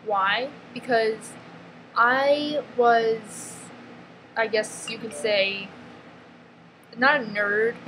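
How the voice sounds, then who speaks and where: A woman's voice: fairly high pitch, fairly bright tone, rough recording. Blunt woman with a New York accent. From northeastern US, near New York. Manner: blunt, emphatic, peppered with "freaking" and "like"; halting, restarts mid-sentence. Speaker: vlogger. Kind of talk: confessional vlog monologue. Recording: noticeable background ambience noise.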